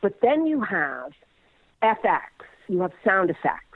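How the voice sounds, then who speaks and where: A woman being interviewed as a writer, explaining her craft conversationally and deliberately, pausing mid-thought. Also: medium pitch, very animated, no room sound. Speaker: interview guest, audio playwright. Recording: narrow phone-like band.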